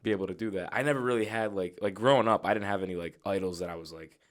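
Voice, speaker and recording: Fast-talking young male podcast host. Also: sits low. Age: 20s. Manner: loose and chatty, many "like" fillers. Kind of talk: casual interview chat.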